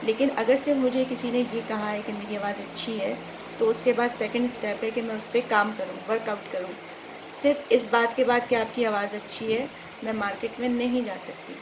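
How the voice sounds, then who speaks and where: A woman's voice: fairly high pitch, steady tone, normal speed. A middle-aged Pakistani woman chatting casually and instructively to viewers as a voice-over trainer, mixing in English words. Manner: conversational, instructive, code-mixes English words. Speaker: voice-over artist and trainer, host. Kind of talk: casual explanatory chat to viewers. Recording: clearly noisy background.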